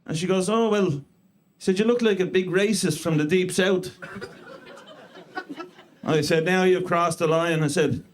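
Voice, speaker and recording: Irish stand-up in his thirties, slightly raised pitch, dry crude self-mockery. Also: unhurried, pauses often. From Ireland. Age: 30s. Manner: deadpan self-mocking delivery with crude punchlines. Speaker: stand-up comedian. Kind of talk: stand-up set. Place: comedy club stage before a live audience.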